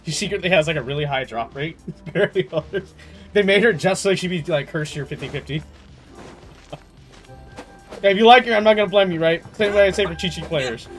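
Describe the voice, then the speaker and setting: A young man chatting casually on a game livestream in an animated, slangy way, swearing freely. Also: sits high, a little fast, long pauses, faint music behind. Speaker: game streamer.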